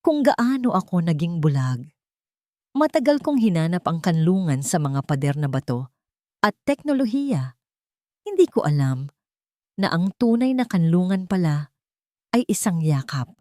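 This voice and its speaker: A woman's voice: lowish voice, very animated, unhurried, pauses often, dark tone, no room sound, studio quality. A woman voicing an earnest, remorseful father in love in an audiobook, solemn and heartfelt. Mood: reflective. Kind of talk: dramatic audiobook dialogue.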